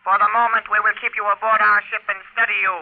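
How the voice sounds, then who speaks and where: Casting: small alien commander, very high voice, precise, courteous menace, vintage band-limited radio sound. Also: male voice, level tone, gravelly. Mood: cool, formal. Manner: precise, formal, measured. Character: calm, polite, menacing small alien leader from another galaxy. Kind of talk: 1950s radio drama acting. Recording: vintage radio recording, narrow telephone-like band.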